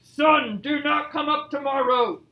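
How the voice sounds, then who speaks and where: A big boastful bear from a children's storybook, put on by a woman, proclaiming every word slowly and stubbornly. The voice is loud and emphatic in an echoey room. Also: high voice, sing-song, lo-fi sound, full character voice. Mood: commanding. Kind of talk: children's storybook read-aloud.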